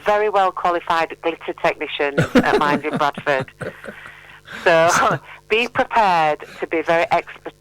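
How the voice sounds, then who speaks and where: A woman's voice: on the low side, a little slow, a bit bright, low-quality recording. A middle-aged woman chatting as a charity guest in a radio interview, playful and encouraging. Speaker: mental health charity representative, radio guest.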